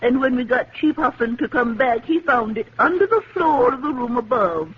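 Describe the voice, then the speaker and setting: A woman's voice: a little high, lively intonation, moderate pace, slightly rough. An actress playing a sensible elderly lady sleuth, a schoolteacherly type, in an old radio mystery. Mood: composed. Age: older. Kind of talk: 1950s radio mystery acting. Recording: muffled, noisy vintage radio recording.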